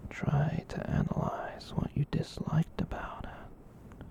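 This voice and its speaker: A performer voicing a gentle giant catboy in a whispered ASMR roleplay, soothing and rambling, with hesitant pauses. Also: natural voice. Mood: gentle, reassuring. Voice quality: breathy whisper. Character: gentle giant catboy, soothing, reassuring, shyly self-conscious.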